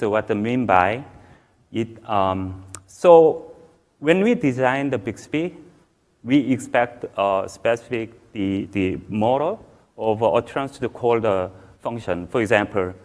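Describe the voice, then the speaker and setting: Korean-accented male presenter in his forties, halting delivery, average pitch, on stage at a tech conference. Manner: halting, many fillers and restarts. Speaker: conference speaker. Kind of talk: technical conference talk. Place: large conference hall stage.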